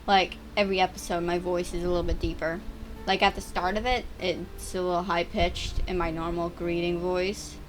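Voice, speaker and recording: A woman's voice: little pitch movement, normal speed, soft background music, poor audio. Stammering, chatty young gaming commentator girl. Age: teens. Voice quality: voice getting deeper and rougher over time. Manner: chatty, stammering, restarts words. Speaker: gaming YouTuber. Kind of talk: rambling gameplay commentary.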